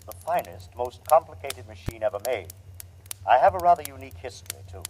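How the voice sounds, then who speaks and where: A man's voice: medium pitch, expressive, fairly quick, darkish tone, close to an everyday voice. Coldly superior robot humanoid, acted by a man, muted tone. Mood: smugly superior. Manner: formal, precise, matter-of-fact. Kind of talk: 1950s radio drama acting. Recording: noisy vintage 1950s radio recording.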